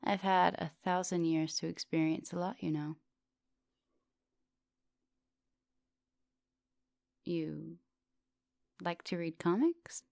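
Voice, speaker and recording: A woman acting as an ancient female vampire professor in an intimate ASMR roleplay. Her voice is very low with a gravelly fry, recorded close and dry. She is composed and formal in her wording, yet teasing and gently commanding, slipping at times toward a whisper. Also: a little slow, no room sound. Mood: affectionate, curious. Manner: teasing, formal wording, soft dominant, often dropping into whispers.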